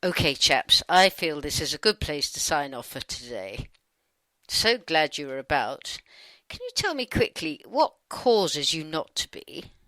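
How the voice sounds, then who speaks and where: A British-accented woman reading her side of a dialogue in a chatty, wry, expressive conversational style. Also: low-pitched, measured pace, no echo, low-quality recording.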